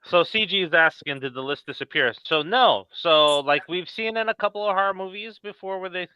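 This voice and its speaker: A man's voice: high voice, animated, a little fast, pushed and bright, smooth, no room sound, highs cut off. A man hosting a casual live talk show, telling stories informally and acting out quotes as he goes. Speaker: podcast host.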